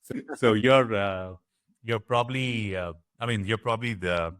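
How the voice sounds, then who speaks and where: A man hosting an interview, asking halting questions with many fillers. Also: lowish voice, a bit bright, no room sound, studio quality. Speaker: podcast host.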